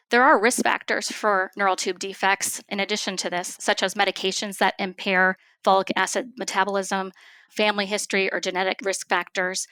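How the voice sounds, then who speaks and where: A woman speaking as an expert physician guest in an interview, explaining things in a measured, formal and precise way. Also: medium pitch, pushed and bright, clean tone, no echo, rough recording.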